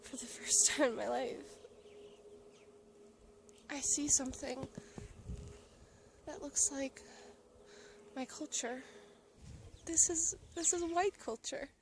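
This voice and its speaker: A young woman from Alaska talks to her handheld camera outdoors, earnest and emotional. Her voice sits a little high. She laughs easily and slips into soft, breathy asides. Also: slow pace, long pauses, slight background noise. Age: young adult. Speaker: travel vlogger. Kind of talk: casual vlog talk to camera. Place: outdoors in a mountain town.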